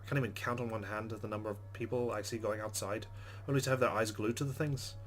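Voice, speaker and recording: A man's voice: on the low side, fairly even intonation, quick pace, faint music behind. A man hosting a game playthrough, reading the story text aloud with casual asides. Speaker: let's play host.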